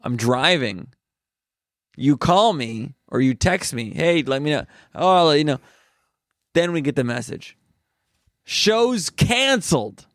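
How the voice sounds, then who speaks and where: Animated man telling a comedy gig story. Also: fairly high pitch, takes it slowly, long pauses, no echo, studio quality. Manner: animated storytelling, quoting others. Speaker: podcast co-host, comedian. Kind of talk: casual chat.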